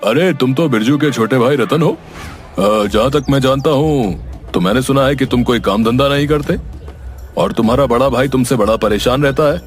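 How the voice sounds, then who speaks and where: Male shopkeeper, played by a man, animated tale: medium pitch, doubtful, courteous, hesitant. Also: normal speed, faint music behind, lightly acted. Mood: doubtful, apologetic. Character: doubtful but polite male sweet-shop keeper. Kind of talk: cartoon moral story acting.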